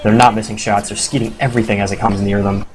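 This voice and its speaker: A hoarse man doing casual live play-by-play commentary on a competitive video game match, excitable and quick to swear. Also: fairly low pitch. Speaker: esports commentator.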